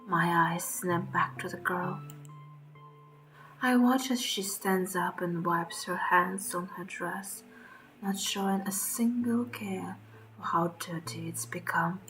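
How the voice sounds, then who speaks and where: Woman narrating as a slow, hushed, wary young beast. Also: fairly low pitch, faint music behind, poor audio. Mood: uneasy. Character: frightened, wary young beast pup narrating, snarling, defensive. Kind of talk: dramatic first-person horror narration.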